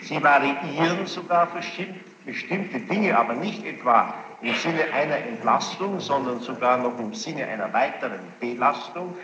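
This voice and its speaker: A man questions a witness as presiding judge, formally and insistently, in deliberate phrasing. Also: mid-range voice, expressive, a crowd in the background. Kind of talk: courtroom questioning. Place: large reverberant courtroom with gallery. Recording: noisy, muffled 1960s courtroom tape, echoing hall.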